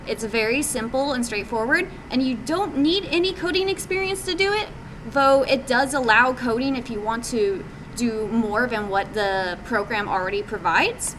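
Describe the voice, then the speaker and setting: A woman's voice: fairly high pitch, animated, normal speed, a bit bright. A woman giving an informal live presentation as an indie game developer, in a hesitant, conversational way with casual phrasing. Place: live group meeting, presenting to attendees. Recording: live meetup recording with background noise.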